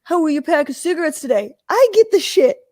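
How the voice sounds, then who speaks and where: A woman hosting a casual podcast chat, talking in an animated, hyper way with a raspy voice. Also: high-pitched, a little fast, dry recording, studio quality. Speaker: podcast host.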